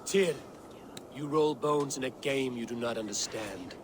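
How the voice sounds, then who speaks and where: A man's voice: medium pitch, measured pace, slight background noise, close to an everyday voice. Grave, commanding Norse god-king, acted by a man. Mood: scornful. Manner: stern, portentous. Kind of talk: dramatic video game acting.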